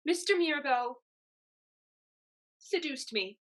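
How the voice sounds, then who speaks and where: A woman's voice: high-pitched, expressive, slow pace, a bit bright, exaggerated voice. A woman playing a scheming young lady's maid in a theatrical reading of a Restoration comedy. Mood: pleading.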